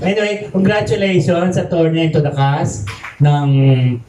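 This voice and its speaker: A man's voice: on the high side, normal speed, slight background noise. A man with a Filipino accent, hosting a press event with casual banter. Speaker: press conference host. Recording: echoey room, distant mic, loud crowd. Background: loud crowd.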